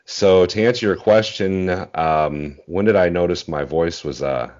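Guy in his 40s, really deep bass voice, chatting on a video call, bit muffled. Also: measured pace, darkish tone. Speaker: interview guest, bass singer. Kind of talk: casual video-call interview. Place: small indoor room at home. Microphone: earbud headset on video call.

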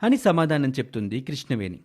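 A man's voice: mid-range voice, big pitch swings, a little fast, a little mellow, husky. A man narrating a scripted moral story in a dramatic storytelling style.

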